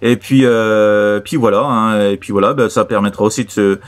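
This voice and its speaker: Halting man on casual radio chat. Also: fairly low pitch, steady tone. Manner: repetitive, halting, peppered with verbal tags. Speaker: amateur CB radio operator.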